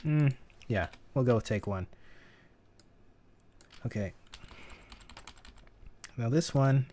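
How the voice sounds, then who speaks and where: A man's voice: medium pitch, animated, takes it slowly, warm tone. A man teaching sound editing in a casual, think-aloud tutorial, with keyboard clicks behind him. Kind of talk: screen-recorded tutorial.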